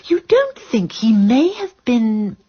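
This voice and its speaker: An actress playing a genteel, proper spinster in a radio drama, with an English accent and a polite, tentative manner. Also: female voice, a little high, big pitch swings, slow, breathy edge, dull audio, full character voice. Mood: tentative, curious.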